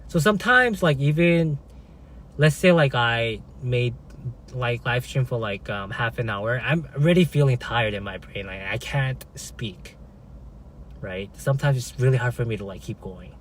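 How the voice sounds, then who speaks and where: A man whose first language is Korean, chatting casually on a live stream, halting, with many fillers and restarts and frequent "right?" checks. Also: medium pitch, animated, fairly bright tone, a little hiss or hum. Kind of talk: casual live-stream chat, reading viewer comments.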